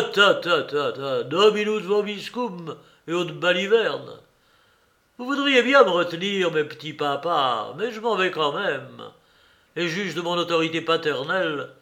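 A man voicing a boisterous, mocking old father who shouts and jeers theatrically. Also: sits high, pitched above the performer's own voice, exaggerated voice.

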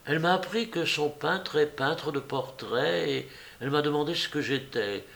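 A shy, lovesick young man of an audiobook comedy, acted out by a male reader. His voice sits higher, and he speaks hesitantly and politely, stammering as if easily flustered. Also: moderate pace, gravelly, fairly bright tone. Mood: shy, lovestruck. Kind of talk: audiobook character voice.

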